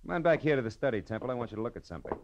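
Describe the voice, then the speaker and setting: A man's voice: medium pitch, animated, very fast, highs cut off, lightly acted. An American man playing a hardboiled, wry private detective in an old radio noir, terse and world-weary. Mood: brisk, terse. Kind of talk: 1940s-50s radio noir acting and narration.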